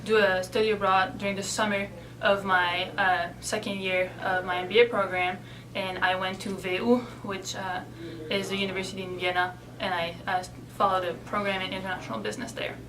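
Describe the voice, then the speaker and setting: A woman in her twenties, originally from Belgium, answering interview questions in a relaxed, chatty way with some hesitation. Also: medium pitch, a little fast, distant mic, noisy background. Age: 20s. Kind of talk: casual interview.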